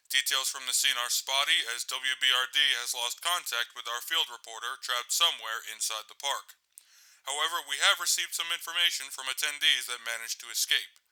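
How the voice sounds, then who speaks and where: Bright, crisp male news anchor, acted by a man. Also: medium pitch, rough recording. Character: urgent male radio news anchor covering a disaster. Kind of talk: scripted breaking news narration.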